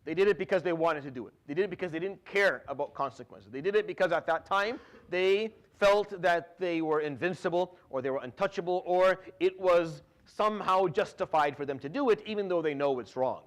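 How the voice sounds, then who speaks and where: A man's voice: sits high, a little fast, few pauses. A man in his thirties, raised in Syria, giving an informal Quran commentary lecture, talking conversationally with many restarts and swift Arabic recitations. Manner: conversational, frequent restarts and repeated words, drops Arabic fillers.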